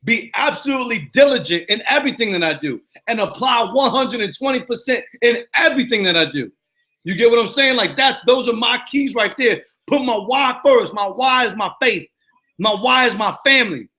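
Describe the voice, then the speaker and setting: A high-pitched man with a New York accent runs a live motivational Q and A. His voice is bright and forceful, and he hammers his points home with repetition. He keeps checking in with his listeners. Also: lively intonation, normal speed. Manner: emphatic, repetitive, preachy, keeps asking "you know what I'm saying". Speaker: motivational coach hosting a live Q and A. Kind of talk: live-stream Q and A monologue. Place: living in Arizona.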